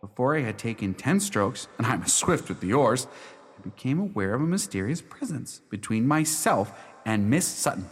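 An actor playing an earnest, lovestruck young gentleman, telling his story formally in a radio drama. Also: medium pitch, sing-song, moderate pace, close to an everyday voice. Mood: uneasy. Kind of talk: radio drama narration, first person. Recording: long hall reverb.

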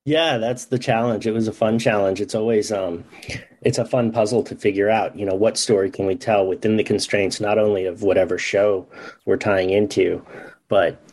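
Low-voiced man, casual writer interview. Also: fairly even intonation, moderate pace, a little mellow, slightly rough. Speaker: comic book writer, podcast guest.

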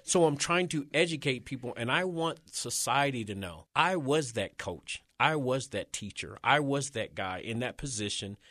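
A man who served time in prison, speaking with a deep, strong voice in a passionate, emphatic way about his experience and rebuilding community. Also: expressive, moderate pace, hardly any pauses, sharp tone, dry recording, clean studio sound. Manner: emphatic and preacherly. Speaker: formerly incarcerated speaker. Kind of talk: passionate advocacy talk.

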